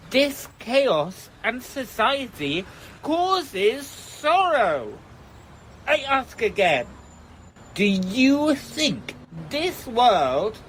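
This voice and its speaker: A woman's voice: fairly high pitch, a little hiss or hum, heavily acted. A woman playing a ranting, confrontational street doomsayer in an audio drama, declaiming in rambling speeches. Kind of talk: theatrical audio drama monologue.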